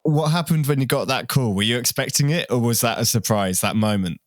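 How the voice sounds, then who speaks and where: A man hosts a conversational podcast interview. His pitch is average and his delivery is casual, recorded close with very little room sound. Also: a little fast, dry recording.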